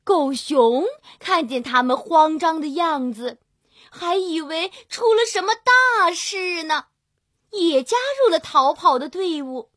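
A woman storyteller narrating a scripted fairy tale for young children in an expressive, drawn-out manner. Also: very high-pitched, no echo, studio quality. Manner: expressive, drawn-out storytelling with gentle sentence particles. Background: children's music.

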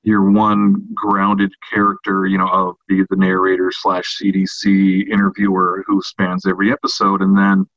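A man co-hosting a podcast, explaining his views on horror films in a casual, measured, slightly halting way. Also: sits low, completely flat delivery, dry recording. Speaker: podcast co-host. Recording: dull, lo-fi, dry audio.

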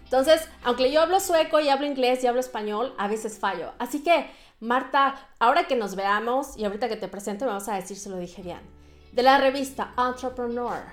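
A woman's voice: fairly high pitch, a little fast, soft background music. A woman hosting an interview, chatty and upbeat. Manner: chatty, upbeat, many fillers. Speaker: podcast host. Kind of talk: casual interview.

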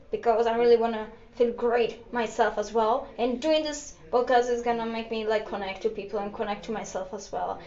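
Upbeat Greek-accented young woman hosting a solo podcast, chatty and giggly, recorded in an echoey room. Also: on the high side. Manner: rambling, upbeat, giggly, with many fillers. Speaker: podcast host. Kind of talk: casual solo podcast chat. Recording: echoey room, slightly dull lo-fi sound.

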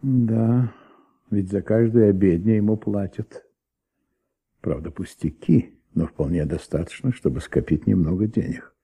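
A low, husky, methodical investigator. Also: male voice, dark tone. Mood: reflective. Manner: measured, probing, polite. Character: calm, methodical male police investigator questioning a young witness. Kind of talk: Soviet radio play acting.

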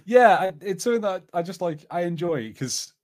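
A man's voice: high-pitched, animated, normal speed, darkish tone, no echo, clean studio sound. A man with an English accent co-hosting a podcast, talking casually in a film and TV review discussion. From England. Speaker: podcast co-host.

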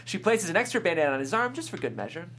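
Woman reading stage directions, animated delivery, hum n noise in the back. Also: fairly low pitch, fast talker. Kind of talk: scripted narration at a table read. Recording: clear background hum and noise.

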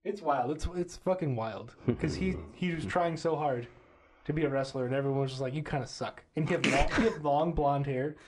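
A man's voice: a little high, fairly quick, noisy background. A man co-hosting a casual comedy podcast, telling a story and acting out the voices of the people in it. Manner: animated storyteller acting out both sides of dialogue.

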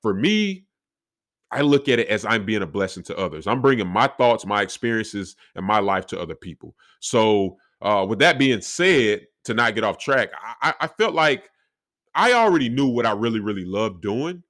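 A young man with a deep voice talking reflectively on his solo podcast, with faith woven into his talk. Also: sing-song, normal speed, fairly bright tone. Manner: reflective, faith-filled, conversational. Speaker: podcast host.

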